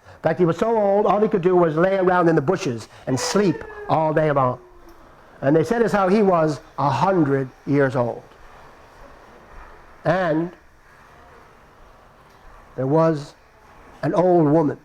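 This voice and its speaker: Playful high-voiced male storyteller. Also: slow pace, long pauses, darkish tone, slight background noise, low-quality recording. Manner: playful, repeating phrases for effect. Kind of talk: live folktale telling. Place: stage before a live audience.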